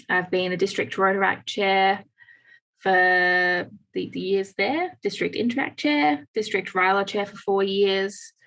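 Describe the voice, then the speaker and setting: A woman's voice: medium pitch, a bit bright, poor audio. Australian woman reading her bio. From rural New South Wales. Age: late 20s. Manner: brisk, reads from notes. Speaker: club president.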